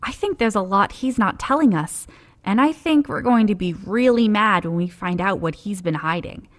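An actress playing a reflective, uneasy young woman narrating her survivor journal in an audio drama, measured and confiding. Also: medium pitch, natural voice. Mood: worried, confiding.

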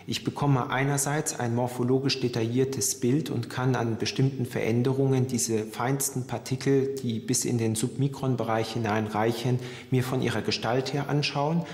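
A man's voice: mid-range voice, steady tone, normal speed, hardly any pauses, a little mellow. A male forensic expert with speech explains technical matters in formal, precise wording. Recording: echoey room with long reverb.

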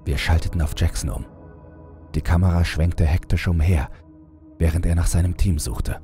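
Very deep, dark-voiced male horror narrator. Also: normal speed, faint music behind. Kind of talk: scripted first-person horror narration.

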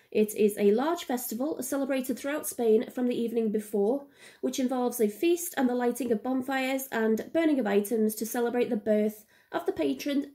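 A young adult woman hosting a missing-person series, reading scripted narration in a measured, earnest way. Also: fairly high pitch, warm tone. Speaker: true-crime podcast host.

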